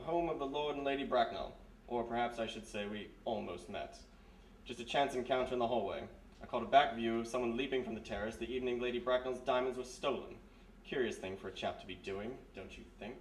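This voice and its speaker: A polite, quietly menacing British officer played by a man, speaking in a formal, insinuating way. It is a radio mystery on a live stage, with the voice set back in an echoey room. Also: medium pitch, a little fast, lightly acted. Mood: veiled threat. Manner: formal, clipped, smugly insinuating. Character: courteous, menacing British military police major. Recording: echoey live stage, distant, faint noise.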